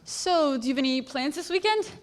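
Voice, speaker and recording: A woman's voice: high voice, lively intonation, fairly quick. A teenage actress playing a bubbly, friendly popular girl who talks in an upbeat, gushing way. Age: teens.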